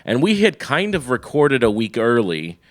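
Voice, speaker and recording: A man's voice: mid-range voice, very animated, moderate pace, no echo. A man co-hosting a casual podcast, congested from a cold, curious and playful, asking many questions. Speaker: podcast co-host. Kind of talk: casual chat.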